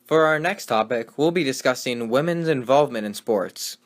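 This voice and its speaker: A man's voice: mid-range voice, lively intonation, moderate pace, lightly acted. A man playing a skeptical, wisecracking 1920s radio sports host, with folksy period slang and a sardonic manner. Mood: amused.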